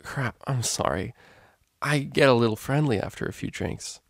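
Man acting out a tipsy female unicorn, storybook character, affectionate, rambling, raised pitch, close dry sound. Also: normal speed, gravelly, dry recording. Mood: apologetic. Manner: rambling, informal, hesitant drunken speech. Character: drunk, slurring, affectionate female unicorn DJ pony.

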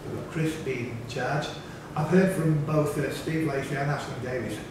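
A man's voice: medium pitch, normal speed. Distant, echoing man bantering in a pub. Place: indoors in a pub room. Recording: distant, echoey, noisy pub room.

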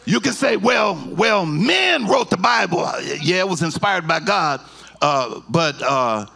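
Brief: middle-aged pastor, high gravelly voice, slow deliberate teaching that builds to shouted sung-out peaks, handheld mic in a church, faint music behind. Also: male voice, sing-song. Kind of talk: sermon. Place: church sanctuary.

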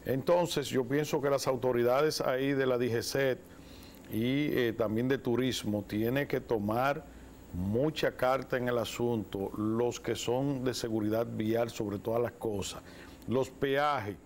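A man in his forties with a Dominican accent, co-hosting a studio talk show and giving lively commentary. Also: medium pitch, unhurried, slight background noise. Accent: Dominican Spanish. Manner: animated, gestures with both hands. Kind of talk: political commentary. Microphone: lapel mic.

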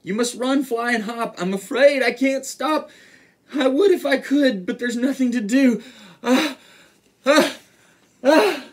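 A man's voice: fairly high pitch, very animated, moderate pace, slightly rough, heavily acted. A man voicing a big, timid, sorrowful male elephant in a rhyming storybook, with dramatic exclamatory delivery. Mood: sorrowful, apologetic. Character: huge timid sorrowful elephant, male, easily frightened and tickled.

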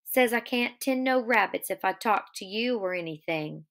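A woman reading a novel aloud as a childlike, simple-minded, fearful male farmhand with halting rural speech. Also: medium pitch, expressive, vocal fry, a bit bright. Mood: guarded, obedient.

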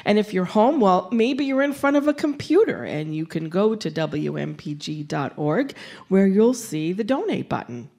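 Woman making a live radio fundraising pitch. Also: sing-song, moderate pace, gravelly, mellow. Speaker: community radio station representative. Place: live on stage in an auditorium.

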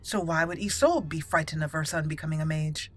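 A woman with a slightly low voice narrates a roleplay playthrough of a game. She speaks deliberately and haltingly, slipping between commentary and in-character lines with dramatic emphasis. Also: soft background music. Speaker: gaming channel host, roleplayer. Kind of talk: roleplay let's play commentary, reading in character and musing aloud.

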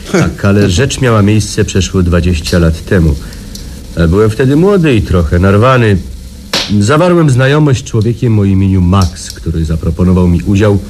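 A reticent, middle-aged hunting guide in a radio drama. His voice is fairly low, and he speaks with hesitant understatement. There is faint noise on the recording. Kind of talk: Polish radio drama acting.

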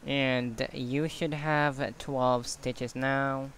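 A man giving a quiet step-by-step craft tutorial, counting stitches as he works off camera. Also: mid-range voice, level tone, a little hiss or hum. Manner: slow, quiet counting while working with hands. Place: indoors at a table. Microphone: camera on hands, speaker off screen.

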